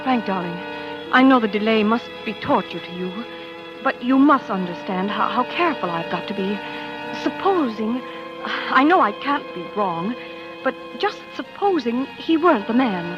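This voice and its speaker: An actress playing a desperate, tender young wife in 1940s radio melodrama, with a halting, breathless delivery and repeated words. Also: female voice, on the high side, animated, music in the background, close to an everyday voice. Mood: anxious, confiding. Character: desperate young wife secretly hunting a killer, tender, nervous. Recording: dull, noisy 1940s radio recording.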